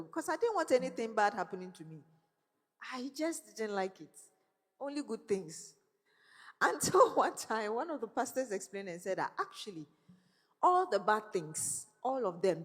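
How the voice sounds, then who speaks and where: A woman's voice: medium pitch, sing-song, moderate pace. Woman speaking in a reverberant hall. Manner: warm storytelling with laughs and faith references. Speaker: guest speaker. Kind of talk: motivational talk to young people.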